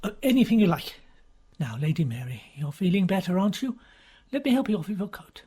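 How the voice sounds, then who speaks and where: A woman's voice: medium pitch, big pitch swings, brisk, mellow, slightly rough. A woman voicing a flustered, polite male detective who speaks hesitantly and stammers. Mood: gentle.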